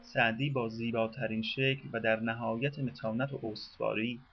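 A man reading a scripted documentary narration for a radio program in a measured, formal manner. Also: medium pitch, flat delivery, vocal fry, dull audio, faint music behind.

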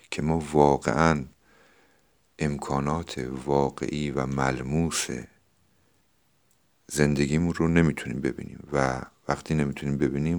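Really really low guy hosting a poetry podcast, reciting and explaining.

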